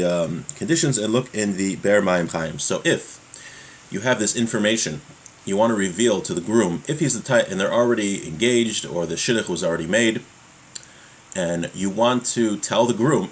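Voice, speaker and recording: A man giving a religious law lesson, reading from a text and explaining it in a halting way, repeating his points. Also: lowish voice, poor audio. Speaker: religious teacher.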